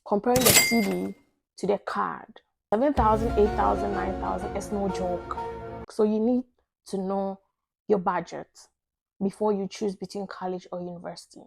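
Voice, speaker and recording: A woman's voice: mid-range voice, steady tone, normal speed, creaky, breathy edge, faint music behind. A woman with a Ghanaian accent giving an explanatory talk as a video host, making her points emphatically and repeating them. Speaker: video host giving advice to international students.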